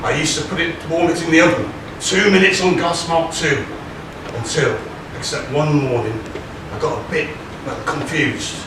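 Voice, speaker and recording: A man's voice: fairly high pitch, normal speed, natural voice. Man playing rambling ragged panto prisoner, very echoey. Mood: rambling, confiding. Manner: rambling, comic storytelling with hesitations. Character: comic pantomime ragged old prisoner, former chambermaid Potty Pat. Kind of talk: stage pantomime comedy acting. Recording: very echoey hall, distant mic, clear noise.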